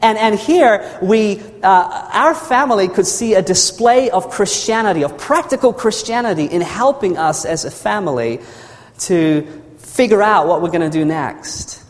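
A man's voice: sits high, expressive, unhurried. A man from New Zealand preaching a sermon in a church hall. He tells his story earnestly, repeats phrases and calls for amens. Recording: long reverb of a large hall.

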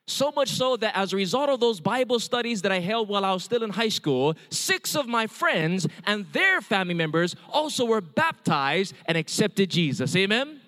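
Man, Hawaiian accent, middle-aged, evangelist sermon, earnest, higher voice, reverberant hall. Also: big pitch swings, normal speed, few pauses, sharp tone. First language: Hawaiian Pidgin. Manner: earnest storytelling with calls of amen. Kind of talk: testimony sermon.

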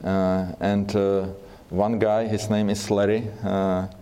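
A man with a Czech accent giving a church seminar talk, speaking haltingly with many fillers and restarts. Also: on the low side, flat delivery, dark tone, clean tone. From Czech Republic.

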